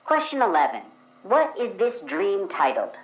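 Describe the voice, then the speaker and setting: A woman voicing a formal interviewer who reads out numbered questions in a measured way. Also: mid-range voice, huge pitch swings. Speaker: dream questionnaire voice. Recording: echoey room sound.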